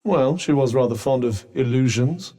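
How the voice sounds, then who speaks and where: A male actor plays a sentient magical cuff from a video game, a male companion with a British accent and an arch, haughty wit. His mid-pitched delivery is articulate and dry, yet it rises and dips freely as he delivers sardonic understatement. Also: lively intonation, moderate pace, dark tone, natural voice. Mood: dry, wry.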